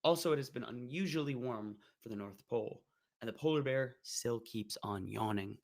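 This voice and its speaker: A man reading old letters aloud on a livestream, deliberate and measured, while getting over an illness. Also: medium pitch. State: recovering from an illness. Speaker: guest reader.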